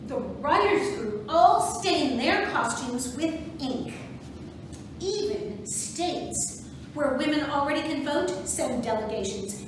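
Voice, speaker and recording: A proud suffragist organizer played by an amateur woman reader declaims in a high, lively voice at a measured pace. It is a stage reading captured from far off in a very echoey room. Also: background noise, exaggerated voice. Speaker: volunteer reader in a museum reading play. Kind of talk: amateur reader's theatre monologue.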